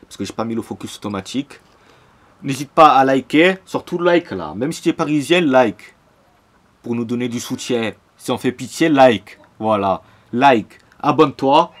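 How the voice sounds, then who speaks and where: A man's voice: mid-range voice, normal speed. A young man from Marseille with a Marseille French accent, ranting casually about football to his own camera in a slangy, repetitive way. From Marseille, France. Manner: animated, repetitive, slangy, fired up. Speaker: football fan YouTuber. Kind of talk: casual vlog rant to camera. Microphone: camera mic, self-filmed.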